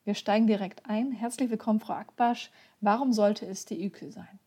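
A woman interviewer who introduces the guest and asks formal, measured questions. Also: mid-range voice, mellow, husky.

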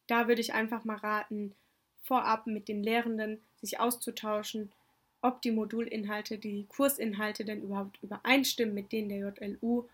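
A young woman whose first language is Turkish, calmly giving orderly interview answers about her semesters abroad. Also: fairly high pitch. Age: young adult. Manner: calm, orderly, explanatory. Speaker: exchange student interviewee.

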